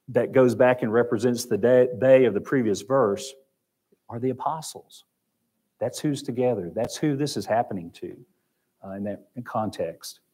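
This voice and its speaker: A man's voice: medium pitch, a little mellow, slightly rough. A man with an Oklahoma accent teaching a Sunday Bible class in a church room, talking conversationally and repeating phrases. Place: church classroom with projector screen.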